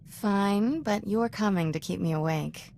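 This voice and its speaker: A woman's voice: medium pitch, measured pace, creaky, a little mellow, slight background noise. A woman playing a bookish, flirtatious Jedi companion in a video game, with a casual, clipped, teasing delivery. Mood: tired, casual. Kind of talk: video game dialogue acting.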